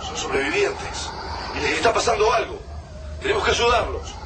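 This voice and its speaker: A man's voice: high voice, lively intonation, normal speed, a crowd in the background, noisy background. An actor playing a resolute man leading besieged survivors in a radio drama. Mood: concerned. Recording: slightly distant, loud music behind.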